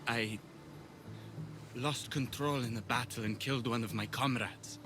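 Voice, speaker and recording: A man's voice: medium pitch, steady tone, unhurried, over music, close to an everyday voice. Game drama role man acting: traumatized Greek veteran, medium voice, earnest and exposed, orchestral backing. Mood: sorrowful confession. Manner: earnest, vulnerable, confessional. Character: haunted, troubled Greek war veteran, gentle and grateful.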